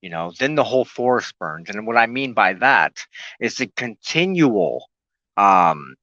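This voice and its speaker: A man giving an earnest, unscripted spoken teaching as a broadcast host, reflective and halting, often pausing in the middle of a sentence. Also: lowish voice, big pitch swings, bright, forceful tone, no echo, muffled recording.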